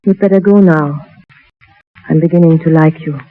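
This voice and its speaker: An actress as a cool, world-weary cabaret singer, old radio. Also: female voice, low-pitched, lightly acted. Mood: weary, wistful. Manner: cool, guarded, unhurried. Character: world-weary, aloof woman cabaret singer in colonial Algiers. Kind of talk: 1930s radio drama acting. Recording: muffled vintage 1930s radio broadcast.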